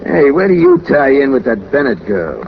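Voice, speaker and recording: A man's voice: mid-range voice, huge pitch swings, normal speed, creaky. An actor playing a lonely, businesslike hired killer in 1940s radio crime drama, talking in casual, ungrammatical tough-guy fashion with matter-of-fact menace. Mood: chatty, coolly menacing. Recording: dull, noisy old 1940s radio recording.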